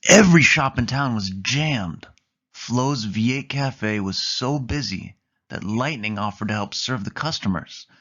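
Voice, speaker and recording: A man's voice: medium pitch, lively intonation, unhurried, a bit bright. A man narrating a read-along children's story from a book. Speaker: storybook reader. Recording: dull, muffled lo-fi recording.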